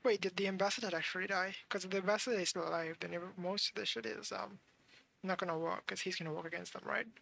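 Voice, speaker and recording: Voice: medium pitch, fairly even intonation, fast, creaky, sharp tone, a little hiss or hum, rough recording. A game streamer giving casual playthrough commentary, musing and thinking aloud.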